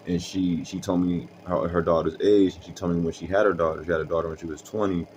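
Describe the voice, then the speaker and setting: A young man with a very deep voice tells a story in casual slang, rambling with lots of fillers. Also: steady tone, fast talker, darkish tone, highs cut off, slight background noise. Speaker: vlogger.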